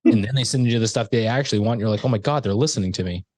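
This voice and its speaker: A man's voice: lowish voice, rapid-fire, darkish tone, no room sound. A man co-hosting a casual movie review podcast, talking in a rambling, chatty way with lots of restarts. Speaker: podcast co-host.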